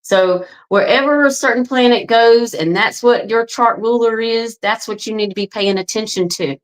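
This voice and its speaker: A woman's voice: a little high, moderate pace, low-quality recording. Forties woman, wellness coach type, earnest and chatty, addresses folks directly, filler-heavy. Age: 40s. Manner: frequent ums and "right?" tags. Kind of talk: casual direct-to-camera promo talk.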